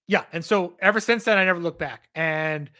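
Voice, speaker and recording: A man hosting a casual livestream Q&A, rambling as he answers viewer questions, his voice strained and hoarse from losing it. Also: high voice, moderate pace, pushed and bright. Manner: rambling, conversational, reads out and answers chat questions.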